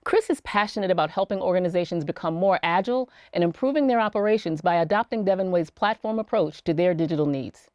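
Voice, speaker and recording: A woman hosting an interview series, reading a scripted intro and then asking questions in a measured, attentive way. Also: on the low side, lively intonation, darkish tone. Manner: measured and attentive, frequent affirming murmurs. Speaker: interview host, executive coach.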